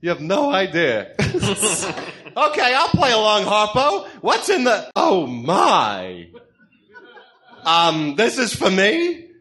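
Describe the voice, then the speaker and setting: High, manic clown villain. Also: male voice, wildly swooping pitch, takes it slowly, sharp tone, heavily acted. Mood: giddy, mocking. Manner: theatrical, mocking, wisecracking. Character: manic cackling clown villain, giggling and sleazy. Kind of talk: comic radio drama acting.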